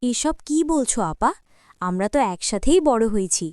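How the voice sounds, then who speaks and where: Woman as a kindly housemaid, clear voice. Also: a little high, expressive, normal speed, a little mellow, no echo, clean studio sound, close to an everyday voice. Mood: gentle. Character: gentle, warm village housemaid. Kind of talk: cartoon dialogue.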